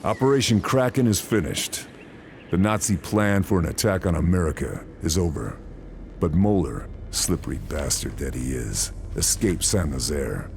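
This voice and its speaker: A man's voice: deep voice, big pitch swings, normal speed, creaky, slight background noise, heavily acted. A man playing a stoic, laconic Allied sniper officer in a war game, with terse, dry delivery. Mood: grim, resolute. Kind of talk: cinematic war game dialogue and narration. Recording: music under the voice.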